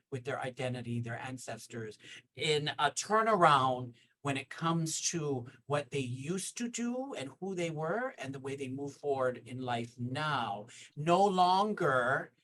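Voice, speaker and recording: A man's voice: medium pitch, fairly bright tone, rough recording. A man from Hawaii speaking earnestly on a discussion panel, often repeating phrases and checking in with "right?".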